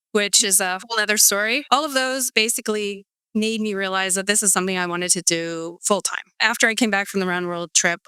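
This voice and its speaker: A chatty woman in her forties from California tells her story with a bright, very clear voice. She rambles, piling up "um" and "like," and the close recording is dry. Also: medium pitch, dry recording. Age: 40s. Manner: chatty, rambling, many fillers like um and like. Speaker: guest. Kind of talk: casual interview storytelling.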